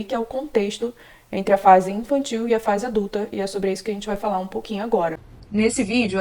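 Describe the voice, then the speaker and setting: A woman with a Brazilian Portuguese accent, an English teacher explaining a topic in a calm, measured video lesson. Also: medium pitch, echoey room.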